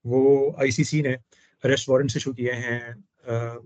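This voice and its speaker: A man with a hoarse throat hosting a casual discussion, speaking in a measured, formal way. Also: medium pitch, flat delivery, a little mellow, dry recording. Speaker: host. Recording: dull, dry lo-fi audio.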